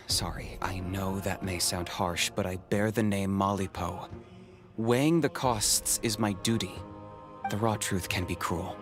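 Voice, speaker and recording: A man playing a sober, bluntly pragmatic male clan member in a video game, speaking in a measured, matter-of-fact way. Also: deep voice. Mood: grave, pragmatic. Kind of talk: video game character acting. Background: music.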